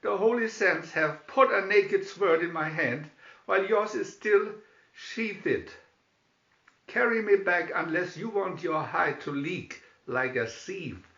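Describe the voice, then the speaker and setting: Storybook outlaw hero, acted by a man: bold, spirited, commanding, raised forceful voice. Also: high voice, lively intonation, slow, gravelly, heavily acted. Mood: triumphant, threatening. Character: bold, cocky young male outlaw hero. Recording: slightly dull recording in echoey room.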